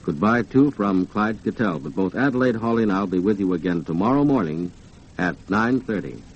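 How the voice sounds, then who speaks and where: A man's voice: lowish voice, animated, moderate pace, slightly rough. An American man working as announcer and co-host on a 1940s radio program, reading sponsor messages and chatting. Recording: dull, noisy 1940s radio recording.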